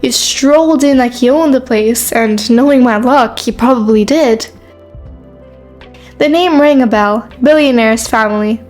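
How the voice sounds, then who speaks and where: A woman's voice: fairly high pitch, unhurried, darkish tone, faint music behind, close to an everyday voice. A woman playing a shy but sarcastic teenage new girl, narrating a scripted romance story in the first person. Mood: self-conscious, wry.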